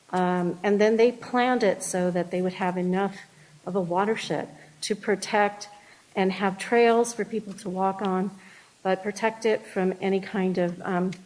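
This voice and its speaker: A woman's voice: mid-range voice, darkish tone, low-quality recording. Hesitant woman giving public comment. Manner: hesitant, many fillers and restarts. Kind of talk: public comment at a city meeting.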